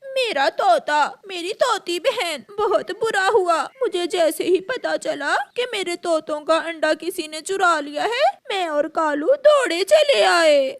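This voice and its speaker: Scheming lady crow for a children's cartoon voiced by a woman, greedy, overdramatic, high and lively. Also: unhurried, exaggerated voice. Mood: fake grief. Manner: melodramatic. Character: greedy, melodramatic female crow, scheming villain.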